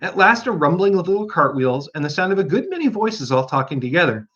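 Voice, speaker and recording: A man narrates a storybook as an audiobook reader. His voice is on the high side and very animated, with expressive delivery. The recording is slightly dull and lo-fi. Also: fast talker, husky.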